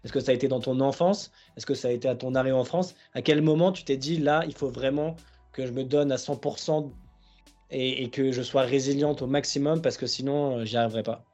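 A man hosting a podcast, asking measured interview questions and laying out several options in turn. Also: mid-range voice, a little mellow, faint music behind. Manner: measured, listing examples and options. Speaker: podcast host.

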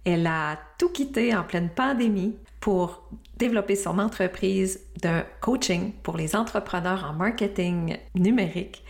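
A woman's voice: medium pitch, measured pace. A middle-aged woman with a Quebec French accent, hosting a podcast with effusive, encouraging introductions and questions. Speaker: podcast host, coach and speaker.

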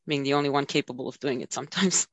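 A woman's voice: a bass voice, fast talker, breathy edge, dry recording. A woman speaking as a panelist in an earnest, conversational way, often saying "you know". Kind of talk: panel discussion.

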